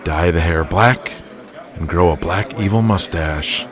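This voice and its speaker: A man acts out a shrewd wrestling boss in an audio drama, with a very low voice. He talks with businesslike authority and likes control, while a crowd sounds behind him. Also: unhurried. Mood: pushy. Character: shrewd, controlling male pro-wrestling promoter, commanding boss.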